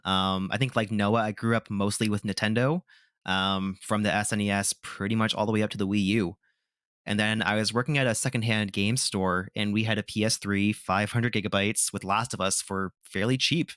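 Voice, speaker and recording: A man's voice: on the low side, steady tone, moderate pace, fairly bright tone, clean tone, no echo, clean studio sound. A man hosting a gaming podcast, talking casually and giving his opinions with lots of filler words. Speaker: podcast host. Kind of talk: casual chat.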